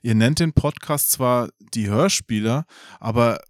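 A man's voice: medium pitch, a little slow, dry recording. Dry-sounding male interviewer, casual. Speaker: podcast host, interviewer.

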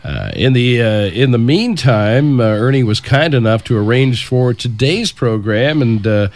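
A man hosting a radio talk show, speaking in a halting way with many fillers. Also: mid-range voice, animated, a little mellow. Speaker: radio host. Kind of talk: radio interview. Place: radio studio.

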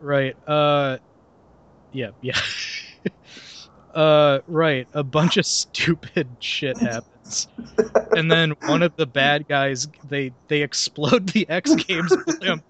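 A man's voice: a little high, slow pace, a bit bright. A young man hosting a comedy podcast, reading a film synopsis aloud and breaking off into laughter and sarcastic asides. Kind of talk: casual comedic podcast chat.

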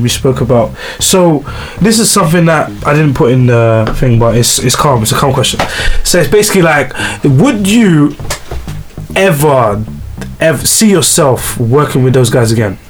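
A man hosting a casual interview, relaxed and slangy, agreeing often. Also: mid-range voice. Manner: relaxed slangy chat, lots of agreeing "yeah". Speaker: host.

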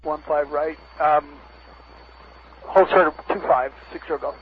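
A man's voice: fairly high pitch, fairly even intonation, unhurried. A man who is a pilot, making procedural calls and readbacks over aviation radio. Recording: muffled aviation radio, clear static.

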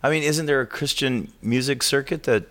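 A man's voice: mid-range voice, moderate pace, a bit bright. A man in his early fifties asking questions in a casual, conversational interview. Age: early 50s.

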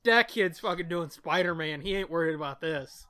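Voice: medium pitch, normal speed, sharp tone, dry recording, clean studio sound. A podcast co-host chatting casually in blunt, slangy, profanity-heavy talk. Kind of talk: casual chat.

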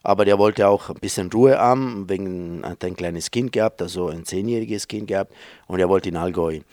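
A man's voice: low-pitched, brisk, darkish tone, no echo. A man in his forties from Italy with an Italian accent, answering interview questions in a hesitant way with many fillers. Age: 40s. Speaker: interview guest.

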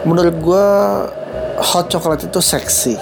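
A man's voice: a little high, steady tone, measured pace, loud music behind the voice. A young man with a stuffy nose from a cold and a Jakarta slang accent, hosting a casual solo podcast and joking at his own expense. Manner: joking, self-mocking, laughs at own jokes. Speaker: podcast host.

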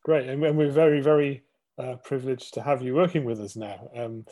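A man hosts a policy podcast at a middle pitch. He talks casually and stumbles over his words, repeating them before he finishes each question. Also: a little fast, mellow, dull audio. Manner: stammering, frequent repeated words. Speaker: podcast host, research fellow.

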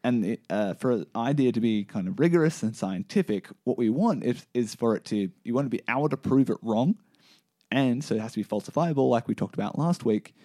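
Male podcast co-host, mellow lowish voice, dry close sound, thinks out loud with restarts. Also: expressive, fairly quick, dry recording. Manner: thoughtful, restarts sentences while reasoning aloud. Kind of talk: casual science chat.

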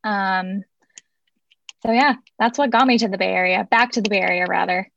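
A raspy-voiced young woman from San Francisco, chatting as a guest in a radio interview with plenty of ums and restarts. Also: medium pitch, moderate pace, fairly bright tone, muffled recording, slight background noise. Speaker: guest, music marketing head. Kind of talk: casual interview chat.

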